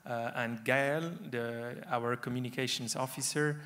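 A man's voice: mid-range voice, steady tone, measured pace, fairly bright tone. A young man presenting his association's board candidates from a lectern in a conference hall, speaking loosely with many fillers.

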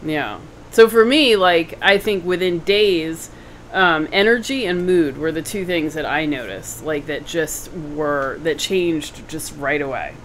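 Middle-aged woman, lowish pitch, warm affirming host, video call, light background noise. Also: unhurried, rough recording. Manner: encouraging, frequent affirming mm-hmms. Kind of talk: casual video call chat. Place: home room with bookshelves. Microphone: video call webcam.

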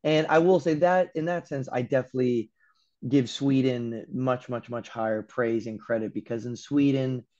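A young American man from Los Angeles, a guest telling animated stories over a video call, acting out quotes and swearing casually. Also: medium pitch, moderate pace. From Los Angeles, USA. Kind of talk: casual interview chat. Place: room at home by a window. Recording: dull lo-fi call audio.

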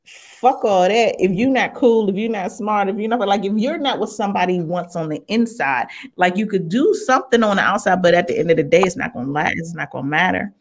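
A woman's voice: mid-range voice, animated, brisk, few pauses. A middle-aged woman hosting a casual, frank panel chat, blunt and slangy, speaking into a studio microphone. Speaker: talk show host. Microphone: large studio microphone on a video call.

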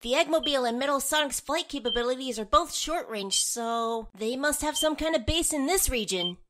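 A woman's voice: high voice, expressive, fairly quick, noisy background. A woman playing an earnest, brainy little boy fox sidekick, explaining things in a comic dub. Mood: earnest, clever.

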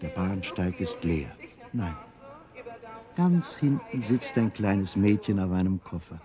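A man narrating a children's radio play as a storyteller, in a measured, deliberate manner. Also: low voice, animated, warm tone. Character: calm male storyteller addressing young listeners.

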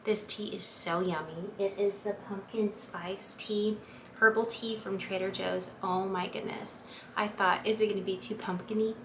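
A woman's voice: medium pitch, fairly even intonation, moderate pace. A young woman vlogging at home, chatting casually to the camera with many fillers, recorded in her kitchen. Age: young adult. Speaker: lifestyle vlogger. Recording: echoey room with clear background noise.

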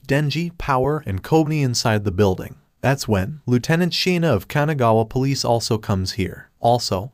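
A man reading scripted story recap narration in a steady, plain storytelling way. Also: mid-range voice, dry recording, clean studio sound.

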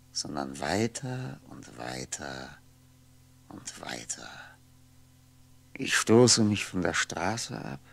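A man's voice: sits low, creaky, fairly bright tone, breathy edge, exaggerated voice. An actor playing a lonely, weary ageing man musing wryly to himself in a 1960s German radio play. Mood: brooding, dreamy. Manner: brooding, halting, musing to himself. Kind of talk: 1960s German radio drama monologue, introspective.

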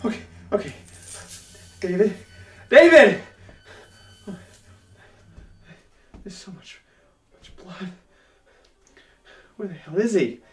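A man acting as an uneasy, frightened young man in a horror audio drama, speaking nervously and haltingly. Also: high-pitched, very animated, heavily acted. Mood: alarmed. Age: young adult.

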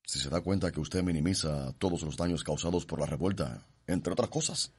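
An actor playing an arrogant but uneasy young suitor in a radio drama, with a Cuban accent and a proud, doubting way of talking. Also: male voice, very deep voice, animated, a little fast, slightly rough, heavily acted. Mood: worried, doubting. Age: young adult.

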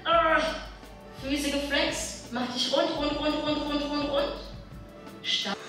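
A woman guiding a Pilates workout, calmly calling out the moves. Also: high-pitched, takes it slowly. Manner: calm, instructive cueing. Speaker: Pilates instructor. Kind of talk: guided workout instructions. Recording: distant, echoey workout video with music.

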